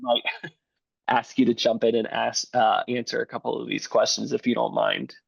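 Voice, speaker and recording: A hoarse man talks slowly and earnestly, leaning into words of encouragement. His voice comes over a dull, lo-fi video call line that sounds dry and close. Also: medium pitch, level tone, no room sound. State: hoarse from bronchitis. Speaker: podcast guest. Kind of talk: remote interview.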